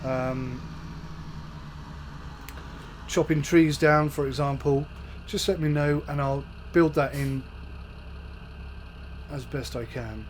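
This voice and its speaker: A man's voice: mid-range voice, slow pace, long pauses. A man hosting a farming game let's-play, chatting to viewers as he plays, his voice a little off from a coming flu. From United Kingdom. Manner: relaxed, chatty, talks to viewers while playing. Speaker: gaming channel host. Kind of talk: casual let's-play commentary. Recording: faint game engine noise behind.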